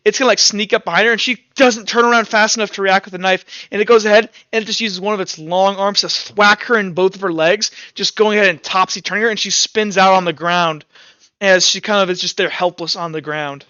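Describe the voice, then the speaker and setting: A man's voice: high-pitched, fairly even intonation, brisk, pushed and bright, clean tone, no room sound, muffled recording. A man improvising narration as game master of a tabletop game, casually thinking aloud as he tallies dice rolls.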